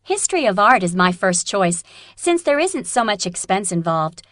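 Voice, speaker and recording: A woman playing a practical young student in a scripted, measured and clearly enunciated listening-test dialogue. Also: medium pitch, very animated, fairly bright tone, no room sound, clean studio sound. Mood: matter-of-fact. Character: practical female student weighing art courses.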